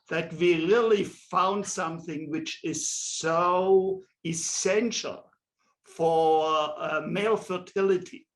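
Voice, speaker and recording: A German-born man with a German accent, a professor in his sixties, answering interview questions slowly and deliberately in an office. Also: sits high. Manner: slow, deliberate and measured. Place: indoor office with shelves and pictures.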